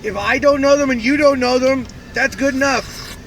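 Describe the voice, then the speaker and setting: A man's voice: extremely high pitch, moderate pace, fairly bright tone, slight background noise. A man co-hosting a podcast in casual banter, grumpy, curt and freely swearing. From Canada. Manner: grumpy, curt, foul-mouthed. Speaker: podcast co-host.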